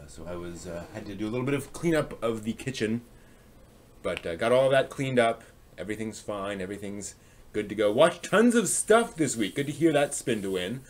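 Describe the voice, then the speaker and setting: Guy with a lowish voice just chatting on stream, kinda rambly and halting. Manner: rambling, halting, thinking aloud. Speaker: live stream host. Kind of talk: casual livestream chat, answering viewers' comments.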